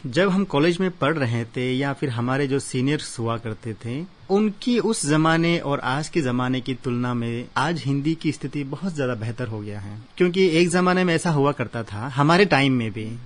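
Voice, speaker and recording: A man from Arunachal Pradesh, a Hindi professor and poet, telling his story as a radio interview guest in an earnest, steady way with many fillers. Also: medium pitch, moderate pace, few pauses.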